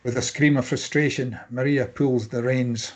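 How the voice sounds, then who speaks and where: A man with a rough-edged, husky voice reads a screenplay aloud as narrator. His pitch is average, and he delivers scene headings and action lines as scripted narration. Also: fairly even intonation, moderate pace. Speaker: narrator reading stage directions.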